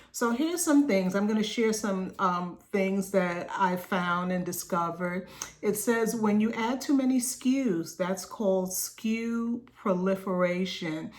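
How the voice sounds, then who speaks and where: A woman with a slightly raspy voice, coaching small business owners on a video call in a conversational way, often tagging "right?" onto her points. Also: on the low side. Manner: reads quoted passages aloud, then explains.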